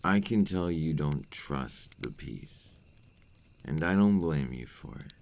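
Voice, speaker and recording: A man performs a brooding vampire in an intimate audio roleplay. His voice sits extremely low, with a heavy gravelly creak. He speaks slowly and formally, like a cursed creature full of regret, over faint background noise. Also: expressive, a little mellow, heavily acted. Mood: gentle, reassuring. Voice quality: very deep, gravelly. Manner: slow, deliberate, formal phrasing. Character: remorseful adult male vampire, brooding and tormented by his curse. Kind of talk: ASMR roleplay acting, intimate monologue.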